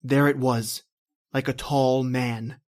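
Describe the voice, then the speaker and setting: A man's voice: medium pitch, flat delivery, slow, a little mellow, close to an everyday voice. An actor playing an anguished married man trapped in prehistoric time, telling his horror in melodramatic old-time radio style. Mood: dread-filled. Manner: melodramatic, intense, repetitive narration.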